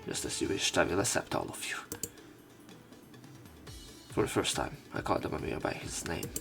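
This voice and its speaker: Man, gravelly, subdued and weary, animated intonation, game read-aloud with casual asides. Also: low voice, normal speed. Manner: subdued, tired-sounding delivery. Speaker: let's play narrator. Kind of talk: reading a visual novel aloud with casual commentary. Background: game music.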